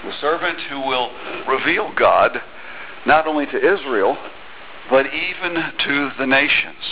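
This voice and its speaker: A man's voice: mid-range voice, animated, measured pace, highs cut off, slight background noise. A middle-aged man preaching a sermon in a church on a headset mic, talking conversationally with small restarts and reading scripture aloud. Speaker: pastor. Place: church sanctuary.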